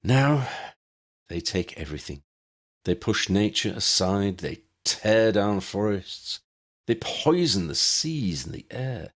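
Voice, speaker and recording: A grave wizard orator played by a male narrator delivers a rallying speech in a low voice. His pitch swings widely as he stresses key words and leaves dramatic pauses, as in a fantasy audiobook. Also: very animated, heavily acted. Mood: grave, pained. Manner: rhetorical, measured phrases with emphatic stresses and dramatic pauses. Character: charismatic male wizard demagogue rallying a meeting, impassioned and grave.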